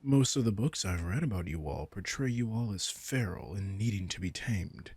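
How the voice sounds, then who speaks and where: A man's voice: on the low side, expressive, normal speed, a little mellow, husky, dry recording. A man playing a commanding, teasing vampire king in a roleplay audio drama, smug and casually menacing as he speaks straight to the listener. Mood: smug, explaining.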